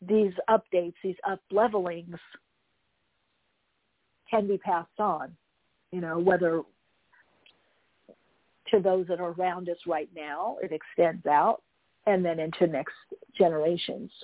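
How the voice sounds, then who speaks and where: A woman's voice: lowish voice, steady tone, slow, long pauses, no echo. A woman hosting a spiritual talk show, chatting in a casual, rambling way and addressing her listeners informally. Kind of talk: casual live talk, interviewing. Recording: thin phone-like audio.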